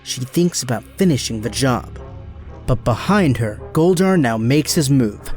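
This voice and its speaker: A man's voice: mid-range voice, lively intonation, measured pace, darkish tone, faint music behind. A man giving dramatic scripted narration as the narrator of an action audio drama.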